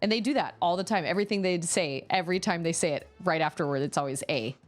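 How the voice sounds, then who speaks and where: A woman's voice: on the low side, fast talker, soft background music. A woman in her thirties chatting casually and rambling while she plays a video game, often breaking off to exclaim at the screen. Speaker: streamer.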